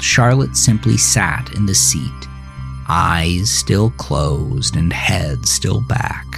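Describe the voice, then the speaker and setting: A man narrates a horror story in a low, gravelly voice. He takes his time with each scripted line while music plays faintly behind him.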